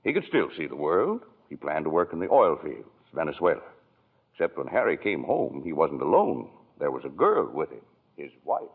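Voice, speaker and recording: An actor playing a calm heavenly spirit who narrates in a 1940s radio drama, with a husky voice, over a tinny old broadcast. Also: male voice, a bass voice, normal speed, heavily acted. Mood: telling.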